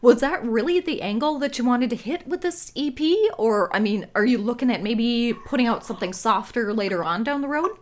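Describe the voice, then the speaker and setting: A woman's voice: on the high side, expressive, fairly quick, few pauses. A woman interviewing a musician in a chatty, casual way, often murmuring agreement. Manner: chatty, with frequent affirming murmurs.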